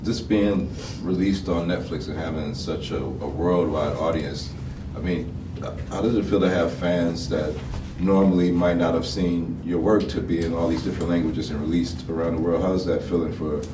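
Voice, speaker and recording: Casting: very deep-voiced interviewer, friendly, recorded distant in an echoey noisy room. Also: male voice, steady tone, moderate pace, few pauses. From Los Angeles. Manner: friendly, frequent "yeah" affirmations. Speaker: entertainment interviewer. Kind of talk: press junket interview.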